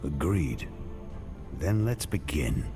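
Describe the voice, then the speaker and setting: A man playing a stern, brooding warrior knight in a video game, with a gravelly voice and terse, grave delivery. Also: extremely low pitch, big pitch swings, slow pace, exaggerated voice. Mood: resolute. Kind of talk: scripted video game voice acting. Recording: game cutscene with music behind.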